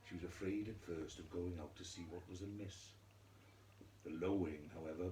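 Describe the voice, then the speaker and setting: A man storyteller narrating a scripted fairy tale for children, theatrically, in measured phrases with dramatic pauses. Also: deep voice, a little mellow. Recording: echoey room with faint noise.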